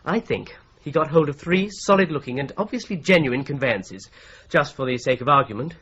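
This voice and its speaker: An actor playing a methodical solicitor-detective who patiently lays out a fraud theory, in a radio drama. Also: male voice, a little high, expressive, normal speed, fairly bright tone, breathy edge. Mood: explaining. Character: Boone, shrewd young male solicitor-detective, patient explainer. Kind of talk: BBC-style radio drama acting. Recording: dull lo-fi radio play recording.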